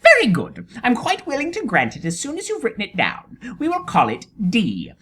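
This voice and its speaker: Man voicing a high-pitched, sly, pedantic storybook tortoise. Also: wildly swooping pitch, normal speed, fairly bright tone, husky, exaggerated voice. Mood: thoughtful, stubborn. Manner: precise, mock-innocent, insistent. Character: sly, pedantic tortoise, politely stubborn and teasing. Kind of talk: dramatic storybook reading.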